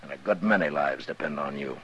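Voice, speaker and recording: An actor playing a stern, commanding frontier marshal with firm, plain-spoken authority in a 1950s radio western. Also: male voice, a bass voice, a little fast, fairly bright tone, slight background noise. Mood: stern, angry. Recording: muffled vintage radio drama recording.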